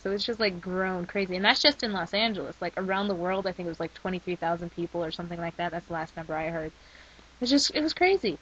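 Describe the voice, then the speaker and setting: A young woman rambling in a casual video diary, her voice worn from a week of shouting. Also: lowish voice, fast talker, a little hiss or hum. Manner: chatty and rambling. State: losing her voice. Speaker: vlogger. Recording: slightly dull lo-fi recording.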